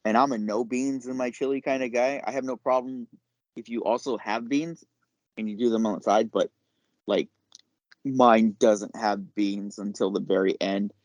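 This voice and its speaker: A man, probably middle-aged, talking casually as a podcast guest, explaining things in a halting, reflective way. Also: on the low side, fairly even intonation, a little mellow, no room sound. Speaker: podcast guest, chef and caterer. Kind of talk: casual interview chat.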